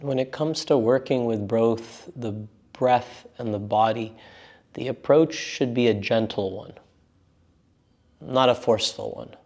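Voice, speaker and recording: A man guiding a meditation as a teacher, speaking in a gentle, unforced and reassuring manner. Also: medium pitch, a little slow. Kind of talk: guided meditation instruction.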